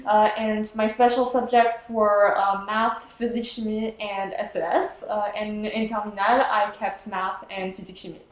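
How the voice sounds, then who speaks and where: A young woman from China carefully goes through her school subjects, filling pauses with uhs. Her voice has a mid pitch and an echoey room around it. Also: little pitch movement. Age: young adult.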